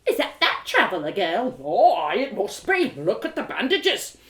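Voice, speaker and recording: A woman's voice: medium pitch, very animated, moderate pace, a bit bright, voice pushed up. A woman voicing nosy, scandalized rustic village gossips in a fantasy audio drama, exclaiming in folksy phrases. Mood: scandalized, gossipy. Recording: roomy home live reading.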